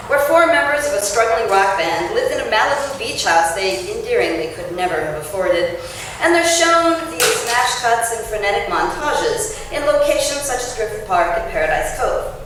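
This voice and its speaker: A woman author giving an expressive, emphatic public reading of a written essay at an event, with clear phrasing. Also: on the high side, normal speed. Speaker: author reading her essay at a book launch. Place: indoor launch-party event space. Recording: roomy echoing event space, distant mic, faint noise.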